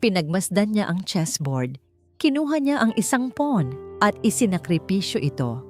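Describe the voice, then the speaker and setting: A woman's voice: mid-range voice, big pitch swings, normal speed, a little mellow, soft background music. A woman with a Filipino accent reading a scripted, dramatic story as its narrator.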